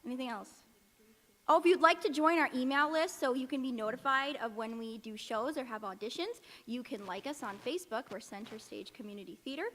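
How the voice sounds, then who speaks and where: High-voiced woman announcer in echoey hall. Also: fairly quick, a bit bright. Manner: informal, bantering, scatterbrained. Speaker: theatre announcer welcoming audience. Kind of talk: casual pre-show announcements. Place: live in church hall before an audience. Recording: echoey hall, long reverb.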